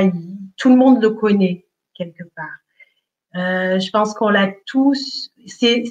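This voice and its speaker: A middle-aged woman from Italy speaking as a spiritual healer in an online workshop, slow and hesitant with many fillers. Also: mid-range voice, muffled recording.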